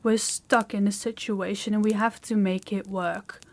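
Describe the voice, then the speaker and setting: A woman's voice: medium pitch, little pitch movement, normal speed, slight background noise, lightly acted. A woman playing a commanding, haughty young princess in a scripted fantasy audio roleplay, speaking in an imperious, condescending way. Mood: resolute.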